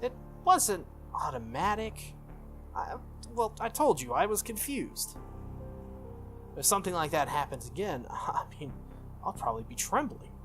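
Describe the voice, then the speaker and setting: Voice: mid-range voice, sing-song, measured pace, a bit bright. Gamer doing a flustered teen anime boy, stammery n defensive but earnest, music behind. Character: earnest, stubborn, flustered teenage boy apprentice mage.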